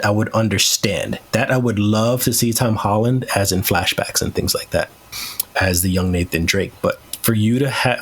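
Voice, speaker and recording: A man's voice: fairly low pitch, husky. A man speaking as a podcast host in casual, opinionated conversation, swearing freely. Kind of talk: casual chat.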